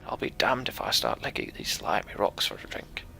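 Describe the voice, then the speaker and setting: A grumpy male quartermaster mutters his complaints under his breath. A man plays him with a gravelly rasp. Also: low-pitched, wildly swooping pitch, moderate pace, bright, forceful tone, pitched below the performer's own voice, full character voice.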